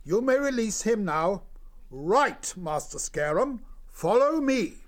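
A man voicing a male abbot who speaks in a measured, firm way. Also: high voice, very animated, pitched above the performer's own voice, heavily acted. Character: calm authoritative male abbot mouse.

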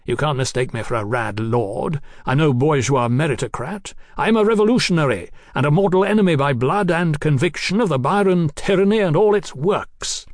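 Guy doing a young fanatic rebel in an audiobook, haughty, speechifying, pitched way up, very dry sound. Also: animated, moderate pace, hardly any pauses, dry recording. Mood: indignant. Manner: declamatory, oratorical, speechifying. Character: zealous young male revolutionary, grandiose, haughty, swaggering. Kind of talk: audiobook character acting.

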